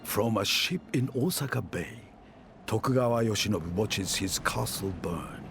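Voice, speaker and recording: Game narrator: solemn feudal war chronicler, low-pitched, expressive range, measured theatrical delivery over prominent music. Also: male voice, gravelly. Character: grave male war chronicler of feudal Japan. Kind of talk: dramatic scripted cinematic game narration. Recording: loud music under narration. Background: cinematic music.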